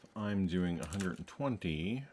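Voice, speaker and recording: A man's voice: low-pitched, expressive, unhurried, a little mellow, slight background noise. A man narrating his own programming as he works, thinking aloud with wry asides and frequent self-corrections. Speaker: programmer streaming his coding. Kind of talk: thinking aloud while coding. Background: faint keyboard typing.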